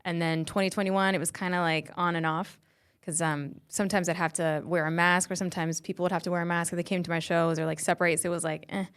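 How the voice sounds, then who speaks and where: A young American woman from Colorado, a comedian chatting casually as a podcast guest, animated and full of "like". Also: on the low side, quick pace, smooth. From Colorado, USA. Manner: chatty, animated storytelling with lots of "like".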